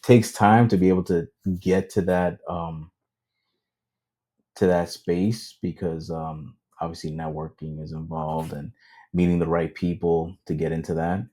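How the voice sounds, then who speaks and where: Man, casual podcast co-host, hesitant with lots of ums, deep voice. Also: a little slow, mellow. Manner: hesitant, many ums, switches into Spanish. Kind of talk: casual chat.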